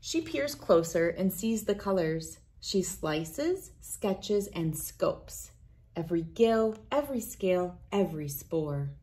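A woman in her thirties reading a children's picture book aloud in a deliberate, expressive storytelling manner. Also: on the low side. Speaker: read-aloud host. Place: indoors, wood-panelled room with large windows.

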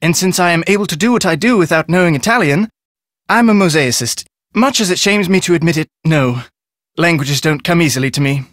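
An actor playing a proud artisan man, speaking in a formal, measured, self-deprecating manner. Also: fairly high pitch, fairly bright tone, no room sound, clean studio sound.

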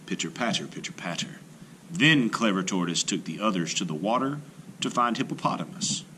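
A man reading a children's folktale aloud in a theatrical, measured way, lingering on phrases. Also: on the low side, expressive, sharp tone, a little hiss or hum. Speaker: storybook reader.

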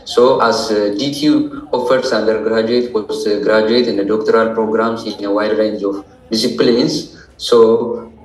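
A man in his twenties with an Ethiopian accent, giving careful, formal answers as an interview guest. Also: lowish voice. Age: 20s. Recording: roomy echo, distant microphone.

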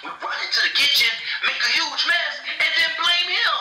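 A man voicing an excitable, mischievous young boy puppet who talks in a blithe, slangy, egging-on way. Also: very high-pitched, brisk, reverberant, soft background music, heavily acted.

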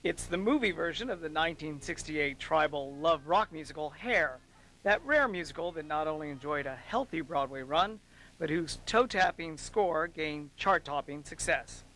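A man's voice: fairly high pitch, animated, normal speed, pushed and bright, slight background noise, poor audio. Polished male arts correspondent. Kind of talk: scripted news report narration.

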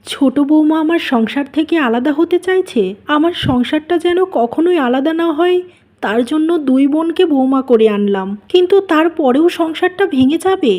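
Cartoon matriarch played by a woman: high, dark-toned voice, anxious, firm, reasoning and motherly. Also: lively intonation, moderate pace. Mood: distressed. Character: anxious, firm mother-in-law holding the household together. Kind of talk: Bengali animated family melodrama.

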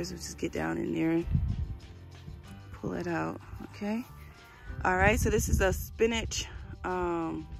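A woman's voice: mid-range voice, vocal fry. A woman hosting a gardening channel, walking viewers through her backyard garden outdoors in a casual, chatty way, filmed on a handheld phone. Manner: chatty, addresses viewers as you guys. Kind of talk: casual garden tour walkthrough. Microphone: handheld phone camera.

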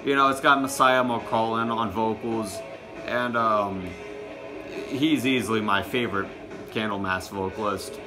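Low-voiced young man vlogging casually. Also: steady tone, unhurried, music in the background. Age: teens to early twenties. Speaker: music collection vlogger. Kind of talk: casual show-and-tell to camera. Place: small home room.

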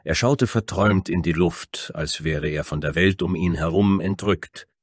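Deep-voiced male fantasy narrator. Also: moderate pace, slightly rough, no echo, studio quality. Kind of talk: scripted dramatic fantasy narration.

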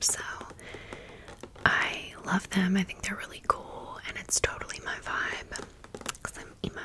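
A woman speaks in a breathy whisper, rambling casually with playful dry asides as she presents items in an ASMR show-and-tell. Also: medium pitch. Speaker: ASMR content creator presenting toys.

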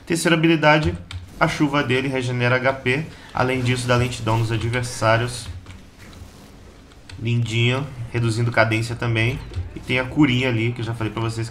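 A Brazilian man with an average-pitched, slightly hoarse voice talks through a game in a loose, slangy way. He sounds like a channel host guiding viewers during play. Faint noise and computer typing sit behind his voice. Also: normal speed, pauses often, a bit bright. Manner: slangy, informal, chatty. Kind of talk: casual gameplay guide commentary. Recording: keyboard clatter and background noise.

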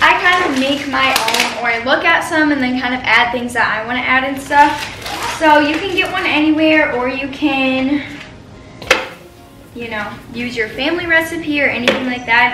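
A teenage girl chatting casually as she walks through a baking tutorial in her home kitchen, explaining each step as she works. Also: sits high. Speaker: baking vlogger. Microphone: far camera on the counter. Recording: roomy kitchen echo, distant mic, music behind.

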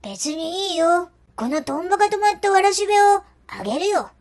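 A woman's voice: very high voice, sing-song, brisk, a little mellow. A woman voicing a fickle, bratty little boy in a cartoon storybook, blunt and boastful. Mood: smug.

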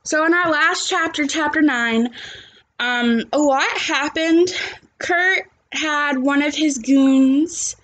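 A woman's voice: sits high, slow pace, fairly bright tone, dull audio. A young adult woman, a teacher, reading a story aloud and chatting to children, recorded at home on a computer's microphone. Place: small living room at home, indoors.

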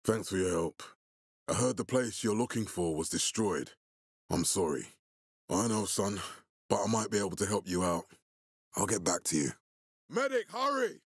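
Game militia commander: male, low husky voice, stern, measured, fatherly authority, dry close sound. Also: very animated, no room sound, full character voice. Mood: calm, firm, then urgent, alarmed. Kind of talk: video game voice acting.

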